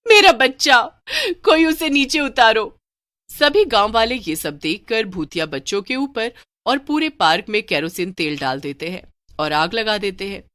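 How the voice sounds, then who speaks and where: A woman's voice: mid-range voice, expressive, moderate pace, fairly bright tone, dry recording, studio quality. A woman narrating a scripted cartoon horror story as a storyteller.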